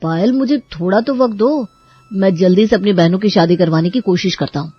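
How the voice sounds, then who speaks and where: A woman's voice: mid-range voice, a little fast, slightly rough, dry recording, muffled recording, close to an everyday voice. A woman voicing a dutiful, exasperated young brother in a cartoon story. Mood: earnest, resigned. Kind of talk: Hindi cartoon story voice acting.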